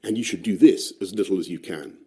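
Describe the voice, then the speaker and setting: A man voicing a formal, measured government announcement in a radio play. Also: sits low, mellow. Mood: stern. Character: stern male government announcer.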